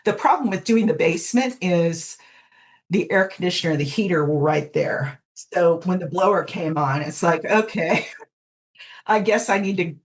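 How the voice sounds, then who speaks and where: A middle-aged woman speaks in a low register as an interview guest. She is casual and plain-spoken, with a wry edge to how she explains things. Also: animated, moderate pace. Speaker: podcast guest, hobbyist fanfiction audio narrator. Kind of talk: casual conversational interview answers.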